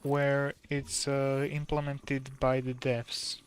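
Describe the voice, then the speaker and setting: A soft-spoken man with a Slovak accent, a native Slovak speaker, gives calm, casual commentary while streaming a game. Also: mid-range voice, takes it slowly.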